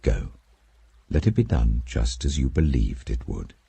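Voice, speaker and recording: A calm, authoritative holy teacher, voiced by a man in a scripture audiobook. The British voice is very deep and mellow, with grave, deliberate phrasing that moves widely in pitch. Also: creaky, slightly rough, no echo, exaggerated voice. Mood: solemn authority.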